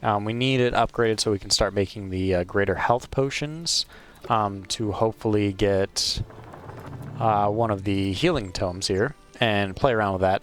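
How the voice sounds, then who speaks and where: A man hosting a let's play, casually commenting on his game in a hesitant, rambling way full of ums and uhs, recorded on a wired mic at a home gaming setup. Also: on the low side. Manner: hesitant, filler-heavy, unhurried rambling. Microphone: wired microphone.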